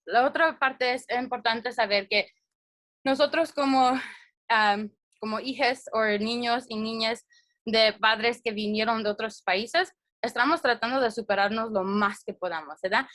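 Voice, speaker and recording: A young woman gives a community presentation in a fairly high voice. She speaks earnestly but haltingly, pausing on fillers and restarting her phrases. The sound is close and dry, with no room echo. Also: a bit bright.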